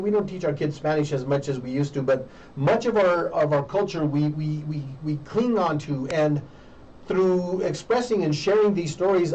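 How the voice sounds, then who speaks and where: Middle-aged male interviewer, American, earnest conversational commentary with mid-phrase pauses, dull sound and faint background noise. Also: fairly high pitch, mellow. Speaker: interview show host. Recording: muffled, distant mic with faint noise.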